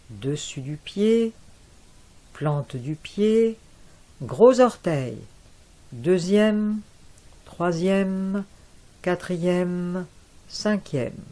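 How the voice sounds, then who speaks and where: A woman guiding a yoga nidra relaxation in a soft, calm voice with long pauses. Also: lowish voice. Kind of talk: guided relaxation.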